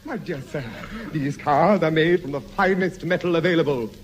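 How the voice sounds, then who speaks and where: A man's voice: on the high side, moderate pace, close to an everyday voice. An English man acting a snooty, fawning luxury car salesman in a 1950s radio comedy, speaking with affected, disdainful refinement. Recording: noisy old archive radio comedy recording.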